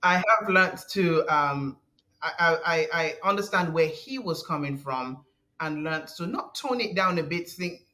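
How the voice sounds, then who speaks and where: A woman guest with a deep voice, talking in an earnest, halting way with frequent restarts and soft laughs. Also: animated. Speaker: podcast guest. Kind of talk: conversational interview.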